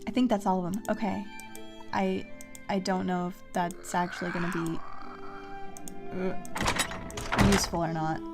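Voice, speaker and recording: Slow-paced woman streaming a game. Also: lowish voice, flat delivery, music in the background. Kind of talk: casual livestream game commentary.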